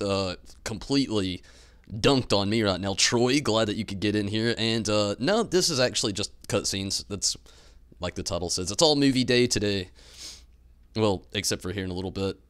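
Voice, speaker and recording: A man's voice: on the low side, normal speed, fairly bright tone, clear, resonant tone. A man livestreaming, chatting informally with his audience in a casual, conversational way. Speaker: live streamer. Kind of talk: casual stream chat and commentary.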